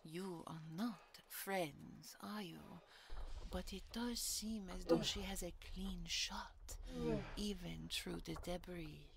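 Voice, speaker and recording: Woman as a creaky, gloating beaked villainess. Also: on the low side, background noise. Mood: gloating, menacing. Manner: theatrical, taunting, drawn-out. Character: vengeful, gloating female beaked palace ruler. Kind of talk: dramatic audio roleplay acting.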